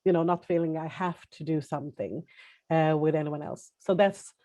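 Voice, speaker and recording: A woman with a Swedish accent, a native Swedish speaker giving personal testimony as a webinar panelist over a video call, halting and hesitant, with many restarts. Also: deep voice, steady tone, warm tone.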